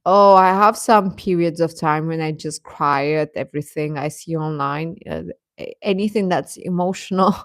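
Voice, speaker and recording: Woman, lowish pitch, dry room-free sound, livestream host, casual advice-giving chat, hesitant self-correcting delivery. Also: moderate pace, no room sound. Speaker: livestream host chatting with viewers.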